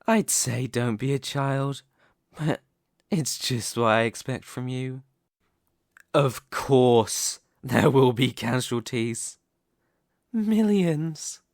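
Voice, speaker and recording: Slow, condescending demon king, acted by a man. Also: medium pitch, dry recording, studio quality. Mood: cold, calculating. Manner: deliberate, condescending, menacing. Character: arrogant immortal male demon king, cold, calculating, domineering. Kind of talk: ASMR roleplay monologue.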